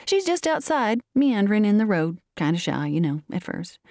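A woman voicing a plain, brisk country mother in a storybook, with folksy rustic speech. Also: very animated, darkish tone, no echo, clean studio sound. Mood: casual, confiding. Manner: folksy rustic dialect, shrill when calling.

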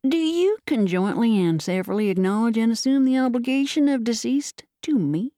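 A woman's voice: on the high side, lively intonation, moderate pace, mellow, dry recording, studio quality, voice pushed up, lightly acted. A woman voicing a mean, grasping old book salesman, insistent and legalistic, in a storybook reading.

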